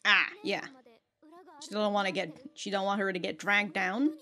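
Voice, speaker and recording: A woman's voice: medium pitch, steady tone, moderate pace, sharp tone. A woman giving casual, slangy reaction commentary and swearing freely as the host. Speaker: host of an anime reaction show.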